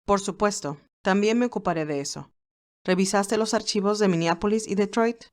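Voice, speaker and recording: A woman's voice: medium pitch, moderate pace, close to an everyday voice. Woman reading a composed, loyal male assistant. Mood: attentive, composed.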